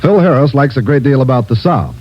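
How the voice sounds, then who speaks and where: A man's voice: medium pitch, expressive, brisk. A man working as a radio announcer with a polished 1950s broadcast delivery. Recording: dull old radio broadcast with music.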